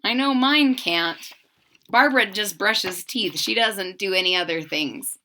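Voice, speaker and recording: A woman reading a children's picture book aloud expressively, pausing to explain it simply and put questions to young listeners. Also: mid-range voice, pushed and bright, a little hiss or hum.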